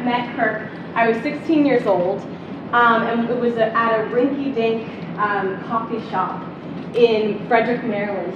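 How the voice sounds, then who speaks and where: Young female TA, earnest introduction full of ums, muffled hall audio with noise behind. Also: mid-range voice. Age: young adult. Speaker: teaching assistant introducing the guest. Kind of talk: speech introduction. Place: university lecture hall. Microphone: far room mic. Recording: distant, muffled, echoey hall recording.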